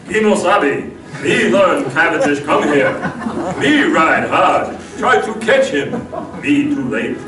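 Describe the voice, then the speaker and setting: Woman playing a stilted, slow Western sidekick. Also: deep voice, animated. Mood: grave. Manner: clipped, stilted broken-English phrasing. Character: loyal, grave male sidekick in a Western. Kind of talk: old-time radio Western acting. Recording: roomy distant stage pickup with music.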